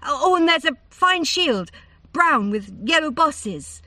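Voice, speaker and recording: A woman voicing an awestruck young princess who gushes and stammers in a high, excited voice. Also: slow pace, no room sound, recorded from a distance, exaggerated voice.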